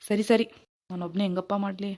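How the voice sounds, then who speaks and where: A woman's voice: mid-range voice, steady tone, a little mellow, voice pushed down, close to an everyday voice. A woman voicing a put-upon young husband in a domestic drama, pleading and complaining. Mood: giving in. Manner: pleading, complaining, quick to give in. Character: put-upon young husband running a small tea stall. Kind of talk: amateur domestic drama acting.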